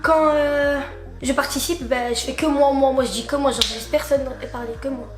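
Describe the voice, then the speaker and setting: A woman's voice: high-pitched, fairly even intonation, moderate pace, roomy sound, music in the background. A teenage girl from Algeria chatting casually, hesitant and playful with many fillers. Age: teens. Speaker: younger sister. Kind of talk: casual chat.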